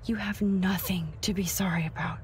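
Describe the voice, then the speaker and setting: A woman acting a caring, nerdy young student photographer in a video game, hushed and supportive, quietly determined. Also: medium pitch, steady tone, normal speed, slight background noise, natural voice. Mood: reassuring. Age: young adult.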